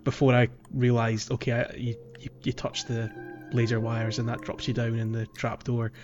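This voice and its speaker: A man's voice: medium pitch, monotone, moderate pace, lo-fi sound, music in the background. A man hosting a casual gaming podcast, talking in a hesitant, self-deprecating way. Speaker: podcast host.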